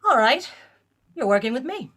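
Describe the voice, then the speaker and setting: An actress playing an authoritative, guarded female starship captain in an audio drama, giving crisp, imperious orders. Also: sits high, big pitch swings, normal speed, a bit bright, full character voice. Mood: brisk, guarded.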